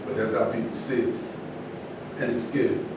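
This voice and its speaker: A man's voice: level tone, moderate pace, natural voice. A man in a staged reading, playing a proud, combative boxing champion who stands on his beliefs. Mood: earnest. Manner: combative, emphatic. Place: indoor room at a staged reading. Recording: echoey room, distant mic, noisy rumble.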